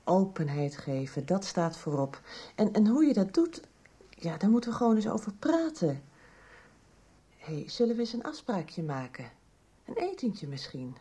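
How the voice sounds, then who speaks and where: An actress in a radio soap playing a calm, practical confidante. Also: female voice, mid-range voice, normal speed, breathy edge. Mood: calm, probing.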